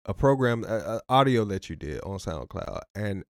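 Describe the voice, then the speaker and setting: Male podcast host, low voice, dry close sound, loose and playful, repeats himself. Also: animated, normal speed, dry recording. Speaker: podcast host and relationship coach. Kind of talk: casual podcast interview.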